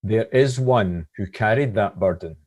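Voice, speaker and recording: A man's voice: fairly low pitch, a little slow, darkish tone. A man reading a scripted devotional reflection as one of a church's readers.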